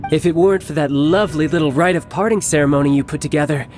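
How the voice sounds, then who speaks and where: A man's voice: a little high, quick pace, lightly acted. Man acting a cocky, battle-hungry young villain. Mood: smug, scheming. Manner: smug, taunting, theatrical. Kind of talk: video game voice acting. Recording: faint music behind the voice.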